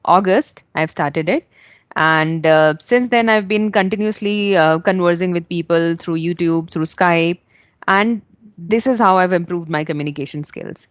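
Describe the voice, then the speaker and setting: Encouraging Indian woman, lowish voice. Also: no echo. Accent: Indian English. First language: not English. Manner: earnest, encouraging, speaks in steady non-native English. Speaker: host. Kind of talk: casual English practice conversation.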